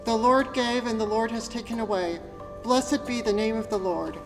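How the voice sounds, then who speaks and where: Lady playing a devout biblical patriarch, earnest and reverent, higher voice, music behind. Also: normal speed, in a large hall, natural voice. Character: Job, pious blameless man of ancient times, devout. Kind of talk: church class Bible drama, recited scripture.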